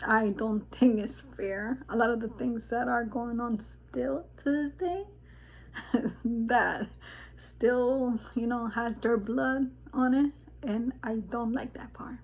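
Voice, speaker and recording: A woman in her forties from the Dominican Republic, chatting casually in a spiritual vlog, rambling with many fillers and laughs. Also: a little high. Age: 40s. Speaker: vlogger. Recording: tinny phone-like sound.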